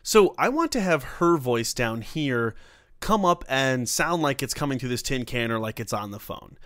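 A man hosts an informal software tutorial in a mid-range voice. He talks through the steps as he works, in a casual way. The voice-over is close and dry, with little room sound. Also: mid-range voice, animated, normal speed, no room sound. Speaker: tutorial host.

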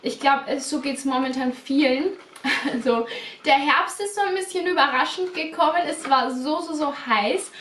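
A young woman in her twenties chatting casually as a beauty vlogger, slightly stuffy from a cold, repeating words and filling pauses. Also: high-pitched, fairly bright tone, a little hiss or hum. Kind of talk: casual unboxing chat. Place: small bedroom studio indoors. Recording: roomy echo, a bit distant.

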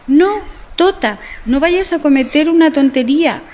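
An actress playing a caring, educated journalist friend, earnest and cautioning, in a radio soap opera. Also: female voice, high voice, expressive, measured pace, a little mellow. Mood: concerned, advising.

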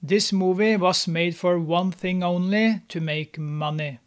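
A man's voice: sits high, steady tone, a little slow, clean tone. A young man hosting a movie recap, narrating the plot with snarky, mocking commentary. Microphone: studio mic, on camera.

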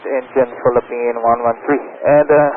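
A man speaking as an airline pilot over aviation radio, giving procedural, halting readbacks and reports. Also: medium pitch, steady tone, phone-quality sound. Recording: noisy, band-limited air traffic radio.

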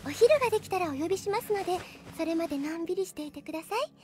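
A woman playing a sweetly polite, obsessive and possessive teenage girl in an anime audio drama, with calm intensity. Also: very high-pitched, rapid-fire. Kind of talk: Japanese anime drama CD acting. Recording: music playing behind the voice. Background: background music.

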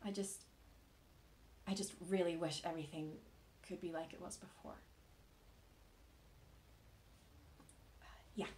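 An actress playing a hesitant, grieving young woman in a naturalistic monologue, praying awkwardly with earnest, halting, tentative speech. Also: on the low side, fairly even intonation, darkish tone, slight background noise, close to an everyday voice. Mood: sad, longing. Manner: halting, tentative, with long pauses. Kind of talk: naturalistic contemporary dramatic monologue.

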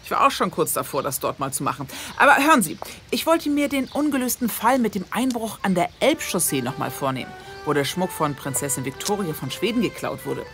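A woman playing a brisk, teasing police detective assistant who is easily smitten, in a comedic radio crime play. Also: on the low side, soft background music. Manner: lively, teasing, exasperated with the boss.

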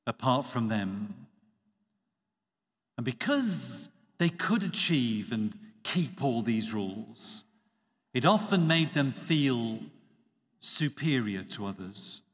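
A man, a priest giving a measured, expressive Sunday homily at a church lectern. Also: medium pitch. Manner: measured, expressive preaching with dropping phrase ends. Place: church sanctuary. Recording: reverberant church acoustics.

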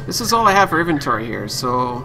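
A man's voice: on the high side, big pitch swings, quick pace, creaky, background noise. A man giving casual live let's play commentary, musing aloud to himself and his viewers. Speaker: game streamer. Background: game music.

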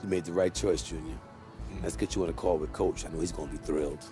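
A man's voice: low-pitched, steady tone, fast talker, natural voice. A man playing an easygoing, encouraging mentor and basketball agent in a video game, talking in a streetwise, reassuring way. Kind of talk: cinematic game dialogue. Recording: music behind the voice.

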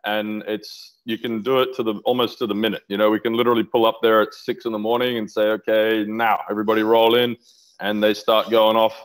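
A man's voice: fairly low pitch, flat delivery, a little fast, clean tone, low-quality recording. A middle-aged man talking enthusiastically over a video call from a home office, rambling with restarts about his research. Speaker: interview guest, aquaculture researcher. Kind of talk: casual video-call interview. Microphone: headset on video call.

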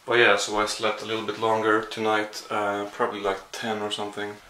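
Young man, swedish accent, bright voice, lowish pitch, rambling tired vlog, faint room hum. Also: monotone, a little fast. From Sweden. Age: young adult. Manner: rambling, self-deprecating, sleepy. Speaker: vlogger. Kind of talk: casual vlog. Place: small apartment indoors. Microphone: handheld camera at arm's length.

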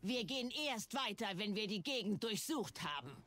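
A woman's voice: mid-range voice, animated, normal speed, a bit bright, dry recording. An actress playing a stern female night elf warden in a fantasy game, grave and commanding. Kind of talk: dubbed fantasy game acting.

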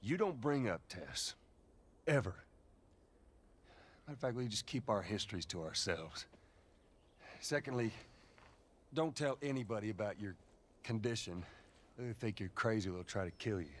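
An actor playing a gruff, stubborn middle-aged survivor in a dramatic video game, terse and commanding. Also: male voice, on the low side, expressive, normal speed, long pauses, fairly bright tone, a little hiss or hum. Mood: stern, controlled.

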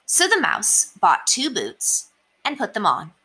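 Very animated young woman reading storybooks. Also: fairly high pitch, a little slow, husky, poor audio. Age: young adult. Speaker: children's read-aloud storyteller. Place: small indoor room.

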